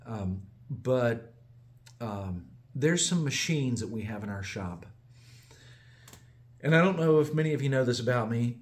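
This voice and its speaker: Rambling American small-business man. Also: mid-range voice. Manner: digressive, self-correcting, chuckles at himself. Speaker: small business owner giving a channel update. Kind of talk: casual rambling monologue.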